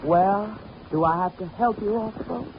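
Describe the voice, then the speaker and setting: Casting: a cool, sarcastic young wife, hard-edged, old muffled radio sound with light hiss. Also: female voice, mid-range voice, a little slow, vocal fry. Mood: sardonic. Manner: sarcastic, tough. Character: sharp-tongued young wife on a yacht, cynical. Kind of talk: 1940s radio acting. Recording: dull old radio recording with faint hiss.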